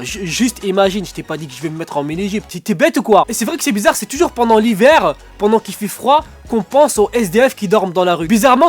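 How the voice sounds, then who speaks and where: A man with a stuffy nose doing a rambling comic vlog from under a duvet at home, acting out little dialogues. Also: high-pitched. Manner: joking, rambling, acts out dialogues. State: stuffy nose, sore throat. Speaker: vlogger.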